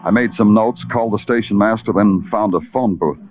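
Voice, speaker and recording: A male actor as a hard-boiled police detective in a radio noir. His low voice is laconic and moody. The old recording is dull, with music behind it. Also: fairly quick, lightly acted. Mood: grim, businesslike. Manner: dry, laconic, moody narration. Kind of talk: 1950s radio noir narration and acting.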